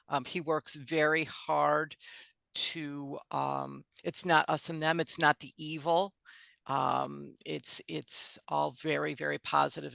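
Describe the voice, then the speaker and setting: A woman's voice: low voice, creaky, sharp tone, no room sound. A woman hosting an online board meeting, chatty and affirming as she leads the discussion. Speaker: meeting host. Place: video call.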